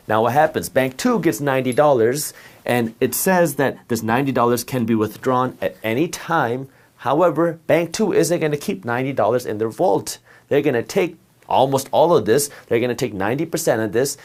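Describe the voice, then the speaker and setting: A man whose first language is Punjabi, giving a step-by-step explanation of banking while drawing a diagram. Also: mid-range voice. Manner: step-by-step explanation with worked examples. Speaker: finance educator.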